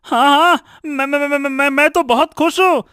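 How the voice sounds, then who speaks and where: High, bright, excitable donkey. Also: male voice, normal speed, dry recording, studio quality. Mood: delighted. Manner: exclaiming, repeating words, stammering when excited. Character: excitable, carefree male donkey turned jackal. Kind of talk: Hindi animated moral story acting.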